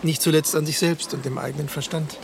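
An actor playing an earnest, troubled young lawyer in a radio play. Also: male voice, a little high, steady tone, fast talker, creaky, background noise. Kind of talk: German radio play acting.

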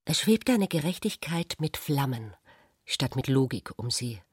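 A narrator reading literary prose for a radio play in a measured, formal way. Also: medium pitch, big pitch swings, husky, dry recording, studio quality.

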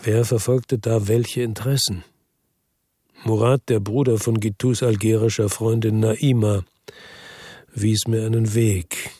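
A man's voice: fairly low pitch, little pitch movement, a little slow, dark tone, breathy edge, dry recording, clean studio sound. An actor playing a world-weary former policeman from Marseille, narrating his case soberly and laconically in a radio drama. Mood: weary, reflective. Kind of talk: first-person radio drama narration and dialogue.

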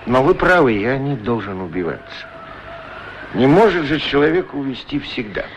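Actor doing a sad dreamy shoe shiner, polite n hesitant, very up-and-down voice, noisy old radio drama. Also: male voice, mid-range voice, big pitch swings, normal speed, lo-fi sound, lightly acted. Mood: wistful. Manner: hesitant, reflective, earnest. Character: melancholy, dreamy male shoeshine worker, polite and thoughtful. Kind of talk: 1960s radio play acting. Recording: old radio recording with clear noise.

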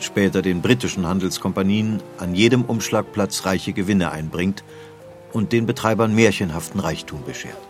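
Low-pitched male documentary narrator. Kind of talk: scripted narration.

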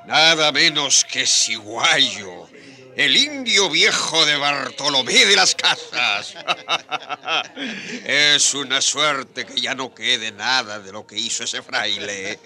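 An actor playing a cruel, gloating colonial landholder in a radio drama, mocking and menacing in manner. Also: male voice, mid-range voice, animated, slow, pushed and bright, heavily acted. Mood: gloating, scheming.

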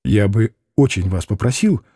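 A man voicing a gentle, polite young man in a classic novel audiobook, speaking softly and with restraint. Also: on the low side, expressive, normal speed, dry recording, clean studio sound, pitched below the performer's own voice. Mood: restrained.

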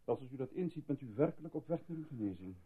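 Husky, patronising, controlling doctor. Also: male voice, mid-range voice, animated. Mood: calm, evasive. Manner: formal, patronising, measured reassurance. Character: soothing, evasive, controlling male doctor hiding a cover-up. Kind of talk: radio drama acting. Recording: muffled lo-fi old radio recording.

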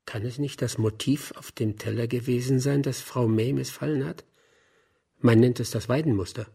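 An actor playing a magistrate's curious aide in a radio crime drama, inquisitive and expressive. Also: male voice, mid-range voice, normal speed, creaky, slightly rough. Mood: curious, storytelling.

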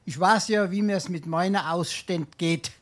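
An actor playing a wily, penniless sponger in a Darmstadt dialect stage comedy, wheedling with theatrical mock courtesy. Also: male voice, sits high, unhurried. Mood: wheedling, glib. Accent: Hessian, Darmstadt dialect. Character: wily, penniless sponger, a glib charming idler.

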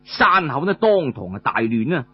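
Husky Cantonese male storyteller, animated pitch. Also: normal speed, highs cut off. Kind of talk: traditional oral storytelling, audiobook narration.

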